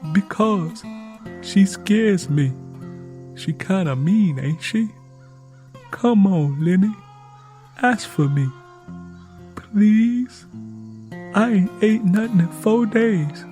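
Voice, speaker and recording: A man's voice: high-pitched, expressive, drawn-out words, long pauses, dark tone, faint music behind, voice pushed up, heavily acted. A man voicing an earnest, chatty, hungry country girl with a Southern accent in folksy rural dialect for an audiobook. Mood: pleading. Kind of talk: audiobook character voice.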